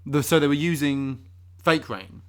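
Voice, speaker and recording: A man's voice: a little high, measured pace, fairly bright tone, clean tone. A man playing an earnest podcast host who hesitantly and blithely excuses film disasters in mock-serious banter.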